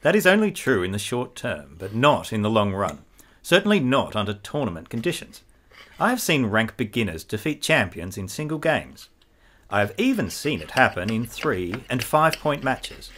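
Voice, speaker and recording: Animated, pedantic officer. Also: male voice, mid-range voice, normal speed. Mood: earnest, insistent. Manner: didactic, precise. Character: earnest, pedantic army officer, games enthusiast. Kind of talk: radio play acting.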